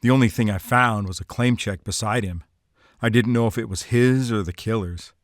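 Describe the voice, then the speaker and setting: A man acting as a wisecracking, excitable hardboiled detective type in a noir audio drama, with slangy tough-guy talk. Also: lowish voice, moderate pace, no room sound, clean studio sound, close to an everyday voice. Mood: wry, deadpan. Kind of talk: hardboiled noir radio-play narration and dialogue.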